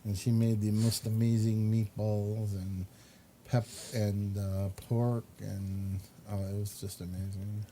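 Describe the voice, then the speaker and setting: A man hosting a talk show in casual chat, with drawn-out, emphatic repetition. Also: on the low side, flat delivery, mellow. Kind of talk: casual chat, commenting on pictures. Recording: clear background noise.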